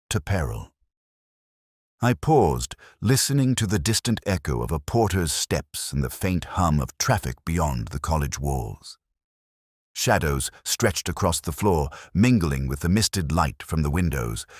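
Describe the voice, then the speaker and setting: Very deep-voiced pensive detective played by a man. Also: no echo, studio quality, heavily acted. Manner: measured, literary, contemplative. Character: pensive, observant male detective recounting his case. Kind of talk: scripted first-person detective narration.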